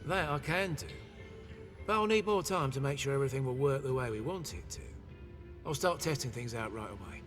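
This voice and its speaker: An actor playing a practical, tech-savvy mercenary mechanic in a video game, casual and plain-spoken. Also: male voice, medium pitch, animated, a little fast, fairly bright tone, faint music behind, lightly acted. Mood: matter-of-fact. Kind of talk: cinematic game voice acting.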